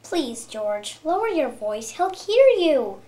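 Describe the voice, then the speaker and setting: A woman playing a concerned, gently firm mother in a readers theater story. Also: high-pitched, big pitch swings, slow pace, full character voice. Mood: pleading. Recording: echoey room.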